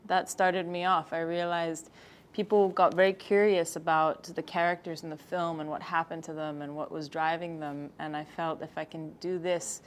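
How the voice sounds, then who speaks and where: A woman in her thirties answers interview questions about her work, thoughtful and a little halting as she recounts her path. Her voice sits low for a woman, with a faint hum of room noise underneath. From Egypt. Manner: thoughtful, slightly halting storytelling with restarts. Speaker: guest filmmaker. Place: studio set with screens behind.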